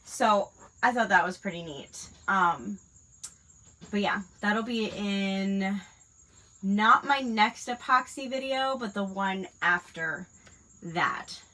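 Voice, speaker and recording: Bright-voiced congested woman crafting. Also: mid-range voice, takes it slowly, poor audio. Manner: rambling, many fillers. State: congested from a cold. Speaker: crafting livestream host. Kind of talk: casual chat while crafting.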